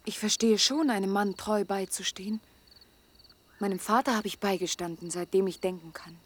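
A woman's voice: medium pitch, steady tone, moderate pace, natural voice. An actress playing a lonely young woman of twenty in an intimate German radio drama, hurt and pleading yet proud, often dropping toward a whisper. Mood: subdued, wistful. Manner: urgent, imploring, emotionally open.

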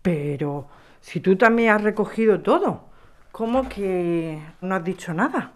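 Give this to an actress playing a young woman in an audio drama, an activist among her neighbours. She is direct and insistent, with a voice of ordinary female pitch. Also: lively intonation, measured pace, darkish tone, natural voice. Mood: suspicious, insistent. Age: young adult. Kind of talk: scripted audio drama acting.

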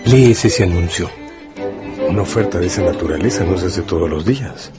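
A brooding narrator of a radio drama, speaks straight to the listener in a low voice. His delivery is deliberate and dramatic, with music playing clearly underneath. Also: lively intonation. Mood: brooding, intent. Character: brooding male narrator addressing the listener as you.

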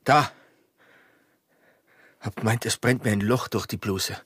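An actor playing a proud, bitter and smitten border guard in a radio drama, speaking Austrian rural dialect with a hoarse, breathy voice and intense manner. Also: male voice, lowish voice, unhurried, fairly bright tone, dry recording, studio quality. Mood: tormented.

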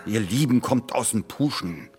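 An actor playing an impatient, demanding lawyer in a naturalistic radio play, insistent and exasperated, with a husky voice. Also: male voice, medium pitch, expressive, normal speed, darkish tone, lightly acted. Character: impatient, demanding male lawyer commissioning research. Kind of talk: naturalistic German radio drama dialogue.

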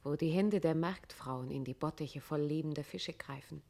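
An actress playing a grave, poetic narrator, reciting literary radio play text in a measured, solemn way. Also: female voice, low-pitched, vocal fry, dark tone. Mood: hushed, vivid. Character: grave, poetic female narrator evoking roads and places.

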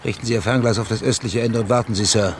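An actor playing a steady, seasoned naval lieutenant in a German radio drama, deferential yet insistent in an understated way. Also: male voice, on the low side, quick pace, noisy background, natural voice. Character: steady, seasoned male ship's lieutenant of northern seas.

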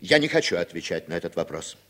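Husky, evasive, sardonic writer. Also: male voice, low voice, big pitch swings, quick pace, fairly bright tone, heavily acted. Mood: guarded, uneasy. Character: American writer, evasive, defensive witness with sardonic streak. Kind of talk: 1960s Soviet radio drama acting.

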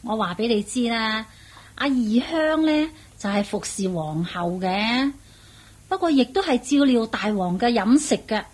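A woman's voice: a little high, animated, a little slow. A woman with a Hong Kong Cantonese accent playing a motherly, scheming palace cook in a radio drama, chatty and coaxing.

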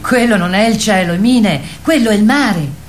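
A grown wild goose acted by a woman in a theatrical radio play, reassuring and a little dreamy. Her voice is mid-pitched and musing. Faint noise runs underneath. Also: lively intonation, moderate pace, close to an everyday voice. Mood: calm, explaining. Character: adult female wild goose Barbara, calm, guiding, dreamy.

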